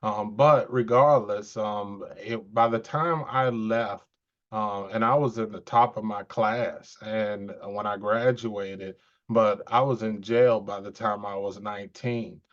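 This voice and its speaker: A man interviewing a candidate in a relaxed, conversational way. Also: lowish voice, slow. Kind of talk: casual interview questions. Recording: dull lo-fi sound.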